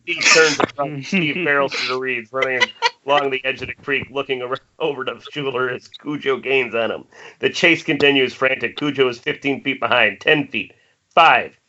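A man narrates screenplay directions in a bright, forceful voice of medium pitch. The sound is a dull, lo-fi online call with faint noise. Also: normal speed. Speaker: narrator reading stage directions. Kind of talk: scripted narration at amateur table read.

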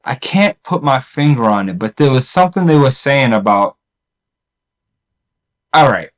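A man's voice: medium pitch, very animated, normal speed. A man chatting casually with a friend. He is blunt and swears freely, and laughs a lot between remarks. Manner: blunt, profane, laughs often. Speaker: podcast co-host. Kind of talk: casual chat.

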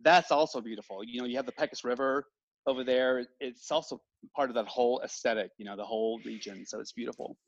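A man from West Texas, a guest in a casual chat, talking in a warm, rambling way with many restarts. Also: medium pitch, fast talker, lo-fi sound.

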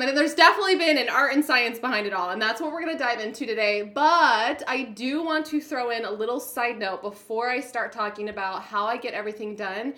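A woman with a naturally loud voice hosting a podcast solo, talking in an upbeat, hyper and emphatic way. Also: on the high side, echoey room. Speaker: podcast host, business coach.

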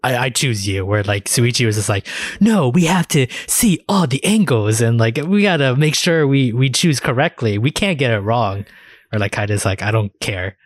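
A man's voice: mid-range voice, expressive, normal speed, no echo. A man talks casually as a podcast co-host, in a mid-range voice. He chats about games like he is among friends and swears freely. The sound is dry and close, with no room on it. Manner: casually profane.

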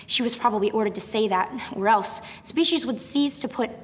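A woman's voice: fairly high pitch, brisk, natural voice. A young woman playing a bookish, nervous teenage girl in a radio drama, sardonic and jittery. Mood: wry, anxious. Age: young adult. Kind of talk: radio drama monologue and dialogue. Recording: echoey hall.

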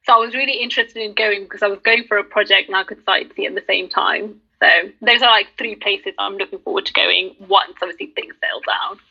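A young woman chatting casually in an animated way, acting out little bits of remembered talk. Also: mid-range voice, quick pace, vocal fry, few pauses, breathy edge. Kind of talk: casual chat. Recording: dull lo-fi sound.

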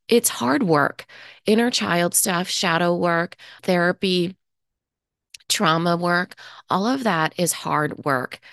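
A woman's voice: lowish voice, vocal fry, no room sound, studio quality. A woman hosting her own podcast, talking earnestly and thoughtfully about what she learned this year, sounding a little stuffy from a cold. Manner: earnest, thoughtful, with gentle restarts. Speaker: podcast host. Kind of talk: reflective solo podcast talk.

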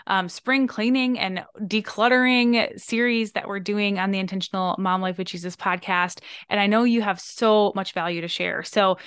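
A woman hosting a podcast, her voice hoarse, crackly and a bit stuffy, talking in an upbeat, chatty way. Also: medium pitch, fairly bright tone. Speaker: podcast host. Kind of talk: podcast intro and interview.

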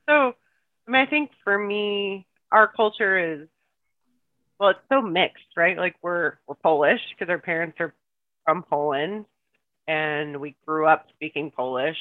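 A guest raised in the United States chats casually, telling stories in a warm, reflective way. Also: mid-range voice, a little slow, no room sound. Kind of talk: casual podcast conversation. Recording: tinny phone-like remote line.

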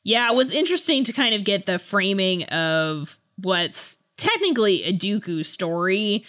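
A woman's voice: medium pitch, big pitch swings, normal speed, fairly bright tone. A woman co-hosting a podcast in a casual book discussion, thinking aloud with some hesitation. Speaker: podcast co-host.

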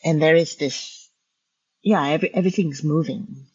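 A choreographer whose first language is Hokkien, speaking in a reflective conversation over a video call, thoughtful and halting, with many restarts. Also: female voice, low voice, a little mellow, breathy edge. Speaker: choreographer and teacher. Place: home in Vancouver. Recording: dull lo-fi video call audio.

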